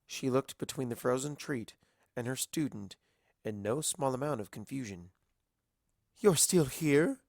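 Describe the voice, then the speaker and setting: A man's voice: mid-range voice, moderate pace, creaky, no echo, clean studio sound. A man narrating a scripted story as a reader of fiction.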